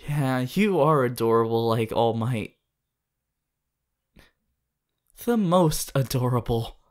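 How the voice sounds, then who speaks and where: A woman voicing a sweet, bashful, excitable teenage anime boy in an affectionate, halting ASMR roleplay addressed to the listener. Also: rumbling low. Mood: excited, affectionate. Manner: halting, affectionate, with small hesitations.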